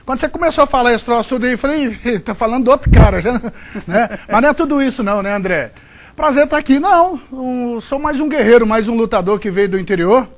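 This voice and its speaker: Goiás-accented guy, middle-aged, high voice, chatty and rambling with lots of restarts. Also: expressive, fast talker. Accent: Goiás Brazilian Portuguese. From Goiás, Brazil. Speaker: sports broadcaster, interview guest. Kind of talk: conversational interview answers.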